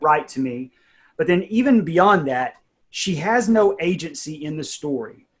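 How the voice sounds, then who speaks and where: A man's voice: on the high side, animated, a little slow, husky. A man, a writer and narrator, casually explaining and reflecting on his creative process. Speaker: writer and narrator of audio drama.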